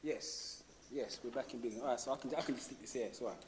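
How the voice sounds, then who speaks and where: A man's voice: mid-range voice, racing, far from the mic. Man talking in an echoey hall. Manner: casual, explanatory, street slang. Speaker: speaker giving a talk to young people. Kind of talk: informal public talk. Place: large hall. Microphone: distant recorder.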